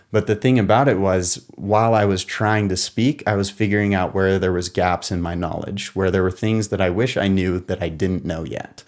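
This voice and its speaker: A young American man in a casual, relaxed monologue, explaining things step by step and sometimes correcting himself as he goes. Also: sits low. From United States. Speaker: podcast co-host.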